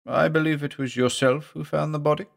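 A man's voice: fairly high pitch, fairly even intonation, a little fast, gravelly, pitched above the performer's own voice. A man voicing a gaunt, reserved investigator, patient and formal, in an audio story. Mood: polite, patient. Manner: patient, soft-spoken, formal. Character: gaunt, polite, reserved male paranormal investigator.

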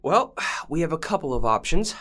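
A young man playing a calm, practical man in a haunted house, hesitant and measured, in a student audio drama. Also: fairly high pitch, heavily acted. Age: young adult. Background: faint music.

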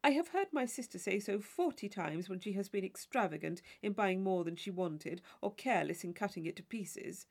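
A woman voicing a witty young gentleman in a storybook reading, articulate, arch and playfully mock-serious. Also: mid-range voice, animated, moderate pace, hardly any pauses. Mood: mock grave. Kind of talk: audiobook character dialogue.

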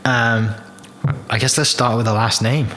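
A man's voice: mid-range voice, fairly even intonation, unhurried, pushed and bright. A man hosting a podcast interview, talking in an easygoing, chatty way with rambling asides, recorded in a room of a house. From Oklahoma, USA. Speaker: podcast host. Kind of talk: casual interview chat. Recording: roomy house room, faint background noise.

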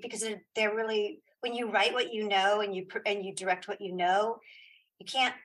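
A middle-aged woman chatting casually as an interview guest, giving opinions in halting, repeated phrases. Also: medium pitch, fairly bright tone, low-quality recording. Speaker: guest, playwright and audio drama creator.

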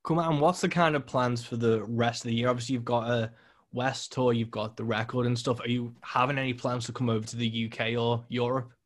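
A man's voice: mid-range voice, steady tone, a little fast. Merseyside man, casual podcast host. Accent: Merseyside English. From England. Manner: informal, matey, sweary. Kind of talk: casual interview chat.

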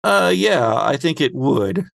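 A man acting as game master of a tabletop role-playing game, narrating scenes in a deliberate, descriptive way. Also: medium pitch, very animated, vocal fry, a bit bright, no echo.

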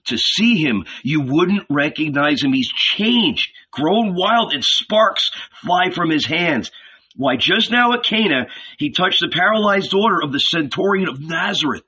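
A man voicing an excited, persuasive male shepherd disciple who urgently pleads with a friend. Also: a little high, a little slow, slightly rough, pitched above the performer's own voice, exaggerated voice. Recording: dull lo-fi recording.

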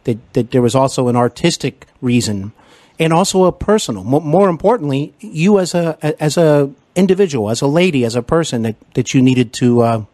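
A man's voice: medium pitch, lively intonation, a little fast, darkish tone, dry recording. An American man hosting a conversational interview in a courteous, effusive and wordy manner. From Cincinnati, Ohio, United States. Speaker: podcast host and interviewer.

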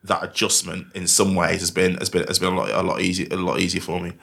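Deep-voiced man with soft Mancunian accent. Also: flat delivery, vocal fry. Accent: diluted Mancunian. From Manchester, England. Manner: halting, repeats and rephrases words. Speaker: podcast guest, investment professional. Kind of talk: candid conversational interview, telling life stories.

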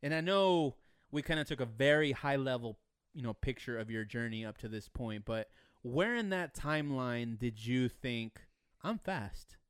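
A man's voice: medium pitch, animated, moderate pace, few pauses, clean tone, no room sound, clean studio sound. A man hosting a casual car podcast, asking questions in a conversational, teasing way. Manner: conversational, teasing, frequent agreement. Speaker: podcast host.

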